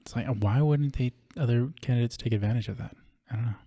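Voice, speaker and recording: A man's voice: mid-range voice, fast talker, mellow, no echo, clean studio sound. A man hosting a podcast from Hawaii, chatting informally with lots of casual fillers. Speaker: podcast host. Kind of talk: casual interview conversation. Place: podcast studio. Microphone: studio microphone.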